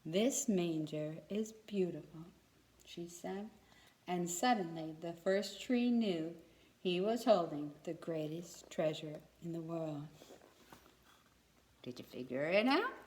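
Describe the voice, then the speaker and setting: A woman's voice: on the low side, expressive, darkish tone. Echoey woman reading a children's story aloud. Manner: pauses to ask young listeners questions. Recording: echoey room.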